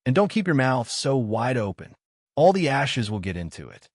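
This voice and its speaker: A man's voice: medium pitch, very animated, moderate pace, no echo, clean studio sound, pitched above the performer's own voice. A man voicing a curious, kindly young girl, chatty and full of wonder, in a storybook reading. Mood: amused, chiding.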